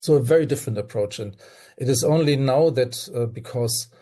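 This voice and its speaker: A man's voice: medium pitch, a little fast, dark tone. An older man with a German accent, a guest on a video-call webinar, speaking earnestly with hesitant fillers and restarts. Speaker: guest toxicologist.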